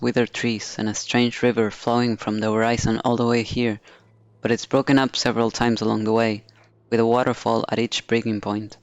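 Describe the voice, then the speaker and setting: A man's voice: mid-range voice, smooth, dry recording. Male game streamer, dry close sound. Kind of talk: reading game dialogue aloud with casual commentary.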